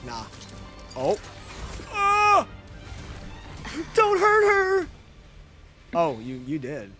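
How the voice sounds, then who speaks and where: High-pitched, animated male streamer. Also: very slow, over music. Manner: slangy, playful, profane, hype reactions. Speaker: game streamer. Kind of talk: casual live gameplay commentary.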